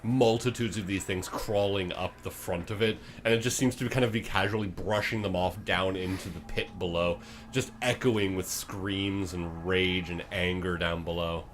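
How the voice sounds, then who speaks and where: A man's voice: sits low, fairly even intonation, moderate pace, slight background noise. A man improvising narration as game master of a tabletop fantasy game.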